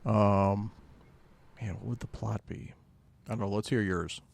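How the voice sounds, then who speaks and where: A man's voice: fairly low pitch, fairly even intonation, unhurried, creaky, a little mellow. A man co-hosting a podcast in casual chat, thinking aloud in a laid-back, hesitant way. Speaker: podcast co-host.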